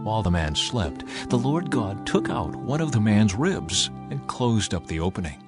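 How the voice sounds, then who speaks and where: A man narrating scripture as an audiobook in an expressive, deliberate, dramatic storytelling style. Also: on the low side, over music.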